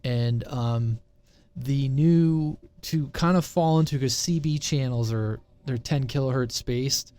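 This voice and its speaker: A man's voice: mid-range voice, takes it slowly, dark tone, no room sound. A man giving a casual, unscripted technical explanation in an informal, rambling way, circling back to repeat his main point. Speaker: presenter explaining radio technology. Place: indoors at a computer, sharing his screen.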